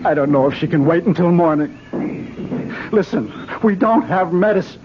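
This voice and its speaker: A man's voice: a little high, moderate pace, natural voice. Man acting an anxious husband, noisy old radio. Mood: desperate, agitated. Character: anxious, protective husband of a sick woman. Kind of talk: vintage radio drama acting. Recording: muffled old radio recording, clearly noisy.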